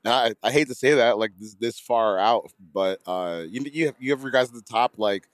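A man co-hosting a podcast in casual chat. His voice is hoarse and gravelly, and he talks haltingly, repeating words and chuckling. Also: mid-range voice, no echo.

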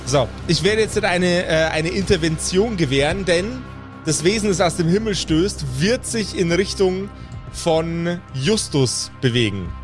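A man with a fairly high voice runs a tabletop role-playing game as game master. He narrates in a measured, deliberate way, leaving pauses. Faint music plays behind him. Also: expressive.

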